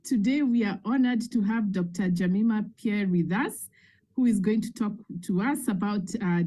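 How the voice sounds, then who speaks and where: A woman hosting a radio interview, giving a polite, measured introduction of her guest. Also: medium pitch, warm tone, low-quality recording. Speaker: radio show host. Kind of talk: formal interview.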